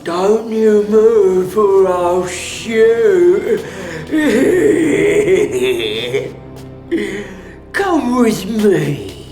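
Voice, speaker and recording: Creepy male henchman: gloating, sinister, drawling very slowly, in an echoey space. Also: high-pitched, sing-song, darkish tone, full character voice. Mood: gloating menace. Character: cackling, leering male henchman with a gun. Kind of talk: audio drama acting. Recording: echoey room, music behind.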